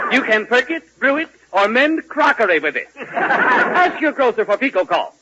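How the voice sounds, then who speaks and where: A man playing an excitable announcer gushing a mock sales pitch in 1940s radio comedy. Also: a little slow, heavily acted. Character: excitable radio announcer hawking a miracle drink. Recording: noisy, dull vintage 1940s radio broadcast.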